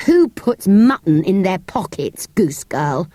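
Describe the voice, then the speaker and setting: A cocky, quick-witted British schoolboy from a storybook, acted out by a woman narrator. He explains like a know-it-all, in a lively, swooping voice with a dry, close sound. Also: medium pitch, dry recording.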